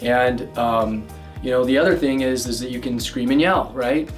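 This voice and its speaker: A loud, energetic man coaching on love and communication. His voice sits in an ordinary middle pitch, and he piles up fillers and restarts as he talks. He is heard a little away from the mic, with faint music underneath. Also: moderate pace, distant mic. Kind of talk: direct-to-camera advice talk.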